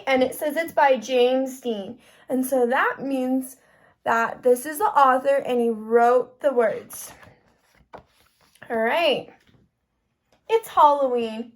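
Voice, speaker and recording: A woman with a very high voice reads a picture book aloud to young children. She takes it slowly, as a kindergarten teacher does, stopping to explain and ask questions in an expressive way.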